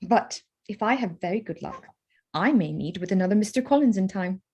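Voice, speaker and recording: A woman playing a witty, teasing young gentlewoman in a period drama reading, wry and measured. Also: medium pitch, expressive, slightly rough. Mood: playful.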